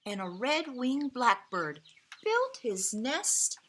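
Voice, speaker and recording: A woman with a bright, fairly high voice reads a children's story outdoors as birds chirp. Her delivery is slow and expressive, with dramatic pauses and stressed words. Now and then she speaks gently and directly to her young listeners. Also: slight background noise. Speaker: storyteller reading a picture book to children. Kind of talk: expressive children's read-aloud.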